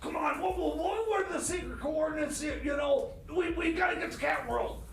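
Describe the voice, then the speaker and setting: A man doing a high, creaky, frantic sidekick. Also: normal speed, low-quality recording. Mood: urgent, exasperated. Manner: stammering, whiny, excitable, repeats himself. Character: frantic, flustered, exasperated sidekick hunting secret coordinates. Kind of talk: improvised comedy radio theater.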